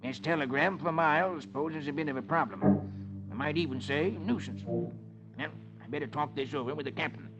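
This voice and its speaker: A man playing a cantankerous, scheming old squire with a creaky voice in a 1950s radio comic-strip drama. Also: on the high side, brisk, music in the background, natural voice. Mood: scheming, uneasy. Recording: muffled old radio recording.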